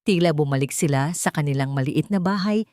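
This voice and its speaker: A woman's voice: low voice, a little fast, darkish tone, no echo, clean studio sound. A woman narrator reading a scripted dramatic story.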